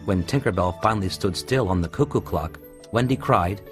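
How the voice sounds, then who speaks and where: A man's voice: fairly low pitch, normal speed. A man narrating a scripted children's storybook tale. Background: music.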